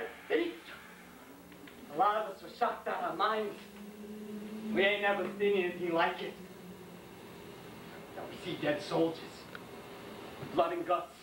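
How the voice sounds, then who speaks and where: A man playing a shaken young American World War II soldier in a dramatic stage monologue, speaking in plain, halting, intense colloquial speech. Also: high-pitched, heavily acted. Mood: shocked, wondering. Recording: echoey room, distant mic, faint noise.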